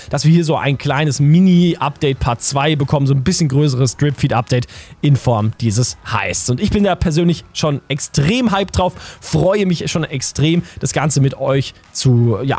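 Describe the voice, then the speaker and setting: Male YouTuber for game news: highish, husky, very animated, informal and hyped. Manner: informal, enthusiastic, addresses viewers directly. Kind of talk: hyped gaming news commentary.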